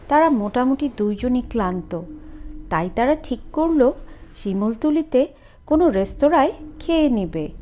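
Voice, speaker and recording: Woman, horror narrator, highish voice, unhurried suspenseful delivery, background noise. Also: a little mellow. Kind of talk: dramatic scripted storytelling.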